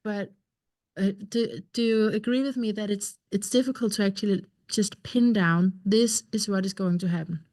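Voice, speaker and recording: A woman's voice: medium pitch, fairly even intonation, normal speed, a little mellow. A woman hosting a podcast whose first language is Danish, chatting casually and often restarting her words as she searches for phrasing. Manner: hesitant, repeats words while searching for phrasing. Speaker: podcast host. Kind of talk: casual interview chat.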